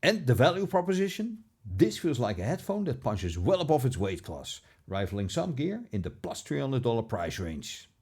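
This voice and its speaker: A man narrating a scripted product review as a video host, with a measured, polished presenter delivery. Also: medium pitch, very animated, darkish tone, husky.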